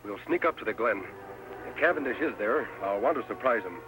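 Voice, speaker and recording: An American actor playing a steady, commanding masked cowboy hero in an old-time radio western. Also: male voice, mid-range voice, brisk, close to an everyday voice, low-quality recording. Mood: resolute. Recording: old radio broadcast with music behind.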